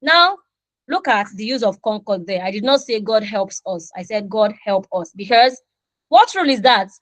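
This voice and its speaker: Lively Nigerian woman teaching English. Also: medium pitch, moderate pace, dry recording. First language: Yoruba. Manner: animated, acts out little dialogues with students. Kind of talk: online class lecture.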